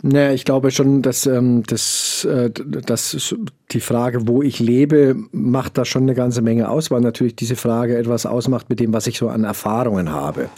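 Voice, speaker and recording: A middle-aged man with a Franconian accent, answering interview questions in a measured way, often pausing with fillers as he searches for words. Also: mid-range voice, steady tone, warm tone. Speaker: interview guest.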